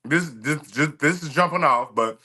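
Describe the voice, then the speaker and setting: A man's voice: on the high side, moderate pace, bright, forceful tone, slightly rough. A man co-hosting a podcast, chatting casually and telling stories in an emphatic, profane way. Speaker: podcast co-host. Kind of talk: casual chat.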